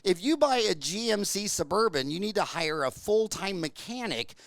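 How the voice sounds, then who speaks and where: A man's voice: high voice, expressive, normal speed, vocal fry, a crowd in the background. An American man hosting an interview podcast, chatting casually and telling jokes with folksy asides. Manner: joking storyteller, folksy asides. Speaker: podcast host.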